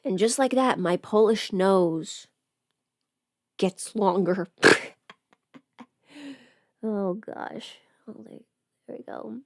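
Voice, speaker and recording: A woman's voice: medium pitch, very slow, long pauses, dry recording. A woman casually chatting on a livestream while doing her makeup, playful and absent-minded, muttering to herself in a close-miked voice. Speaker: livestream host, voice performer.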